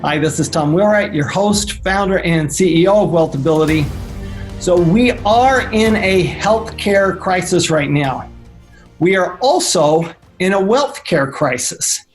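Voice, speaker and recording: A man hosting an interview show, talking in a loose, halting way with frequent fillers and restarts. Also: high-pitched, expressive, faint music behind. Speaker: host. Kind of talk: interview podcast. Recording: remote call.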